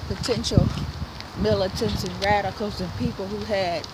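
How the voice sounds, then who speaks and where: An older woman, explaining things in a measured way while walking along a residential street. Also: mid-range voice, fairly even intonation. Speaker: vlogger's companion. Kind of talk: casual conversation on a walk. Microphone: off-mic, beside the camera phone. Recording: loud outdoor noise, off-mic.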